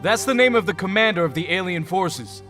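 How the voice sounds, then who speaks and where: A man's voice: high-pitched, expressive, fairly quick, bright, forceful tone, soft background music. A man playing an earnest, intense young superhero team leader in an audio drama, speaking firmly. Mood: resolute.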